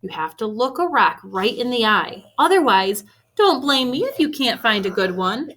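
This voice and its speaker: A woman reading a picture book aloud to children expressively, with deliberate emphasis on key phrases. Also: fairly high pitch, big pitch swings, a bit bright. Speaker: read-aloud storyteller for children. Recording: faint background noise.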